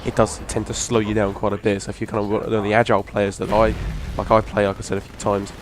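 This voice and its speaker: A hoarse young man, rambling casually through a video game weapon review. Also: on the low side, little pitch movement, quick pace, background noise. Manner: rambling, restarts a lot, casual swearing. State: hoarse from a cough. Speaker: gaming channel host. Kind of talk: casual gameplay commentary.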